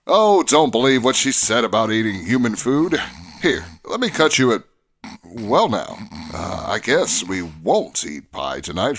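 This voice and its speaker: A man's voice: on the low side, a bit bright, slight background noise, full character voice. A man playing a kindly, upright Mountie sergeant, earnest and courteous in an old-fashioned way, in radio adventure style. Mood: urgent, heroic.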